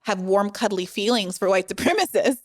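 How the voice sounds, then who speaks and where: A woman hosting a podcast interview, articulate and measured, asking long, structured questions. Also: mid-range voice, lively intonation. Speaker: podcast host. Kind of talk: interview conversation.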